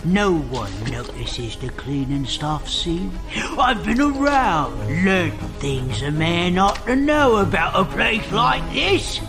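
A man's voice: high voice, very animated, slow, music in the background, exaggerated voice. A man playing a knowing, shady fellow in a sci-fi comedy audio drama, with a theatrical delivery.

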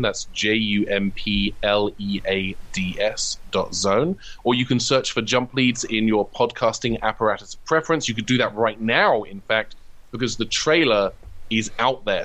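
A man's voice: fairly low pitch, fairly bright tone, low-quality recording. A man talking casually and enthusiastically as an interview guest, often wandering from one thought to the next. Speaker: interview guest, comedy writer and audio drama producer.

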